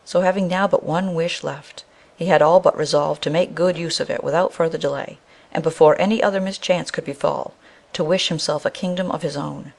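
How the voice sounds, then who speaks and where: A woman storyteller reads a scripted fairy tale. Her voice sits fairly low for a woman and has a noticeable creak. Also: fairly even intonation, fairly quick, darkish tone. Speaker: storyteller, narrator.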